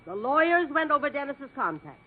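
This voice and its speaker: A woman's voice: high-pitched, big pitch swings, a little fast. A woman playing a brash, domineering, middle-aged mother with a combative, sarcastic manner in a 1950s radio comedy. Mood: exasperated. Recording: muffled, noisy 1950s radio, faint audience.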